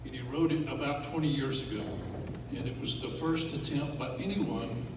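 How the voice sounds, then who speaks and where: An older man from West Texas with a Texan accent, giving a grave, measured talk to a club, with frequent pauses and fillers. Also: fairly high pitch. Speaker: guest speaker. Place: meeting hall. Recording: echoey hall from far off, noisy.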